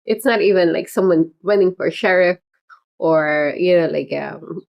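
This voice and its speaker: Chatty rambling woman, lower pitched. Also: a little slow, gravelly, lo-fi sound. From Philippines heritage. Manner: chatty, rambling, frequent fillers and laughs. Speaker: political candidate hosting a livestream. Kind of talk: casual livestream chat.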